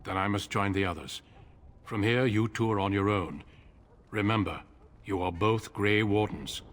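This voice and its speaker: An actor playing a calm, grave older warrior leader in a video game, speaking in a measured, formal manner. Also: male voice, fairly low pitch, slight background noise, natural voice.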